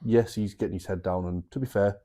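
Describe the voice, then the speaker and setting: A man co-hosting a laid-back podcast, telling anecdotes in sweary banter. His voice is low and dark in tone. He keeps it relaxed and conversational. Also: a little fast. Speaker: podcast co-host. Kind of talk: casual podcast chat.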